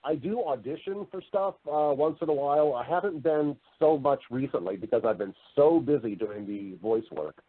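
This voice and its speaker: A man's voice: medium pitch, animated, moderate pace, hardly any pauses, husky. A man chatting casually as an interview guest, talkative and given to explaining things at length in anecdotes. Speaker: interview guest, voice-over artist and actor. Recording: narrow, lo-fi phone-like line.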